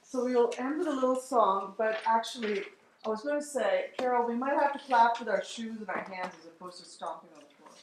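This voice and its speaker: A woman leading a group workshop, encouraging and reacting quickly to others. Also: a little high. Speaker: workshop leader. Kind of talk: casual group facilitation. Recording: echoey room, faint noise.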